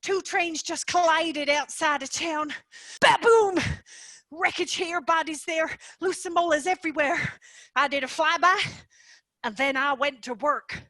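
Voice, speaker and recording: A woman's voice: high voice, unhurried, bright, forceful tone, no room sound. A woman playing a cheerfully gruesome female tooth fairy in a stage play. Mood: gleeful.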